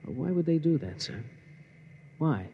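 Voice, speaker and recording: A man's voice: on the high side, big pitch swings, normal speed, mellow, highs cut off. A man playing a thoughtful, deferential officer in an old radio play. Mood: earnest. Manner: earnest, deferential. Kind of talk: 1950s radio drama acting. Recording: old radio broadcast with faint hiss.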